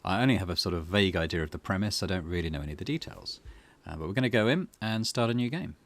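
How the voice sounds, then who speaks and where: A man hosting a gaming video, giving low-key, tentative, casual commentary as he plays. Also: expressive, rapid-fire. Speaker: gaming channel host. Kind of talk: casual let's play commentary.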